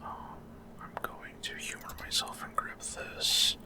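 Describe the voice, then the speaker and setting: A man giving ASMR gameplay commentary in a soft, unvoiced whisper, hesitant and unhurried with trailing pauses. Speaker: ASMR gaming streamer.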